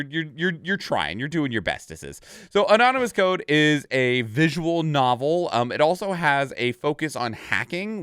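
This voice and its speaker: A man giving casual, informal reaction commentary as a host. His voice is clear and smooth with lively swings in pitch, recorded dry and close, and he tends to repeat words for emphasis. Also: no echo. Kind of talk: casual commentary on game trailers.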